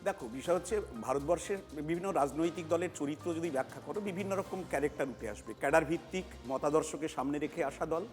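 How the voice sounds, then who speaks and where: A high-pitched male analyst with a West Bengal Bengali accent. He explains emphatically, repeating key phrases, in a long-reverb recording with music underneath. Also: fast talker, hardly any pauses. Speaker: panel analyst. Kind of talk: political analysis on a TV panel.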